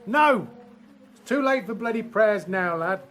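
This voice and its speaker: Man playing a high-pitched, blunt army sergeant. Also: expressive, unhurried, a bit bright, faint music behind. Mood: stern. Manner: barking, blunt orders. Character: gruff commanding male First World War army sergeant. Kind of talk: radio drama acting.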